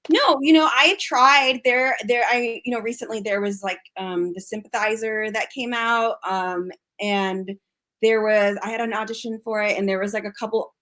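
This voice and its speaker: An American actress talking casually as an interview guest, chatty and full of restarts and fillers. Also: female voice, a little high, fairly even intonation, moderate pace, a bit bright. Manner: chatty, frequent fillers and restarts, laughs often.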